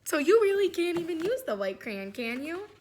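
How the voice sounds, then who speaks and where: A woman's voice: very high-pitched, very animated, normal speed, darkish tone, slight background noise. A woman teacher reading a children's storybook aloud, with sing-song chatty asides and questions to her young listeners.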